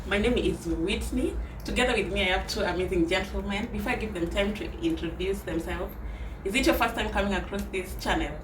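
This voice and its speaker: A woman's voice: medium pitch, brisk. A Kenyan woman hosting a talk channel, opening the show in an upbeat, welcoming presenter voice. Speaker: show host. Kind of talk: channel intro and interview. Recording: echoey room with background noise.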